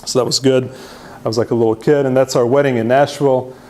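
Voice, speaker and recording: A man presents to a meetup crowd. He is self-deprecating and casual, often tags his points with a quick "right?" and restarts his sentences as he goes. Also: mid-range voice, steady tone, warm tone. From Serbia. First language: Hungarian. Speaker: presenter at user group meetup. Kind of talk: conference talk with slides.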